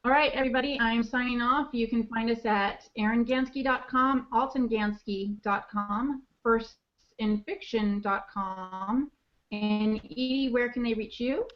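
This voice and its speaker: Chatty woman on dull call audio. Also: a little high. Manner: chatty, laughs often, halting restarts. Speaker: podcast co-host. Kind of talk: casual chat.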